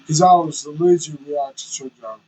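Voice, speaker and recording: A man's voice: on the high side, fairly even intonation, moderate pace, warm tone, faint music behind, poor audio. A man vlogging candidly from the cab of his semi truck, rambling and self-conscious as he chats to viewers. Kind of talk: casual chat while driving.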